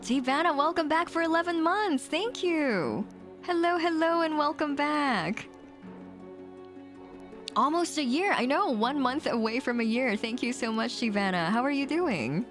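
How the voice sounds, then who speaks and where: A woman's voice: sits high, expressive, a little slow, soft background music. A woman streamer chatting casually with viewers as she plays a visual novel, in a playful, teasing manner. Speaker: VTuber streamer. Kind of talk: casual livestream chat and visual novel read-aloud.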